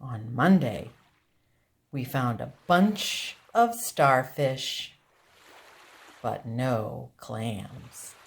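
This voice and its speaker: A woman with a low-pitched voice reads a picture book to young children. She takes her time, at a very unhurried pace. Her phrasing is deliberate and expressive, in the manner of a storytime teacher. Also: vocal fry.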